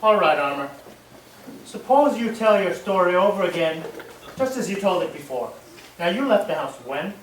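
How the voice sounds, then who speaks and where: Man acting a high-voiced, businesslike police interrogator. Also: expressive, moderate pace, slight background noise. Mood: businesslike probing. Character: police officer questioning a bereaved husband, brisk, businesslike. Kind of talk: old-time radio drama acting. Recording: distant, echoey old radio recording.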